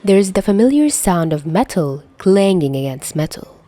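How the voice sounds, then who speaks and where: A woman's voice: lowish voice, sing-song, normal speed, mellow. A woman narrating a scripted story in the manner of an audiobook reader. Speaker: podfic narrator.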